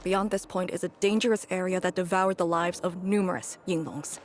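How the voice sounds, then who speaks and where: An actress playing a solemn, battle-worn woman commander in a video game, speaking in a grave, measured, formal way. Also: lowish voice, steady tone, a little hiss or hum, close to an everyday voice. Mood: commanding, grave. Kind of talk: dramatic video game story dialogue. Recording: game music behind the voice.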